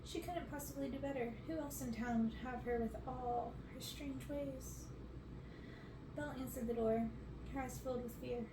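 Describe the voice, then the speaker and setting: A woman's voice: high voice, steady tone, moderate pace. A woman in her twenties reading a storybook aloud as an ASMR reader. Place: bedroom, on a bed. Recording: roomy, noisy, distant mic.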